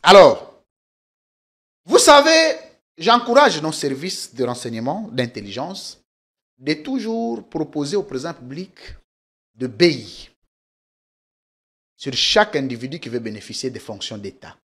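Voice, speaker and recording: Man, political guest, emphatic, insistent, lively swooping pitch. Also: measured pace, pauses often. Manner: insistent, emphatic, talks over the host. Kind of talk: heated political debate.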